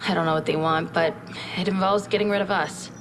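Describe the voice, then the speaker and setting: A woman's voice: fairly low pitch, steady tone, brisk, music in the background, lightly acted, low-quality recording. A woman playing an earnest, candid scientist who briefs a military commander in a video game cutscene, speaking in a thoughtful, conversational way. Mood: troubled. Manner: conversational, thoughtful, with reflective asides. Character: earnest female scientist advisor, candid, inquisitive and troubled.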